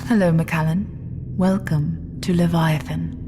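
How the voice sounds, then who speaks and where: A woman's voice: low voice, fairly even intonation, measured pace, noisy background. A proud, imperious immortal queen. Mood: astonished, dignified. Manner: imperious, formal, theatrical. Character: proud, regal immortal queen, commanding and quick to wrath. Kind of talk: dramatic audio drama acting.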